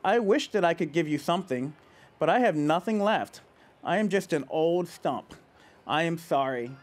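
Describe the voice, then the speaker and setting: A man voicing a loving old female tree as he reads a picture book aloud, softly and slowly. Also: a little high, lively intonation, pitched below the performer's own voice, natural voice. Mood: sorrowful.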